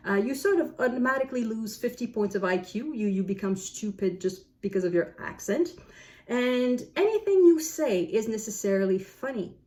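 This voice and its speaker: Mellow-voiced French Canadian woman musing. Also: on the high side, expressive. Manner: thoughtful, unhurried, musing aloud. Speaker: book vlogger. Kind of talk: casual talk to camera.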